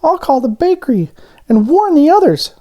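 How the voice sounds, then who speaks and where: A man voicing an excitable, naive little talking doughnut from a children's storybook, with an earnest, exclamatory manner. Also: very high-pitched, normal speed, pitched above the performer's own voice. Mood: urgent.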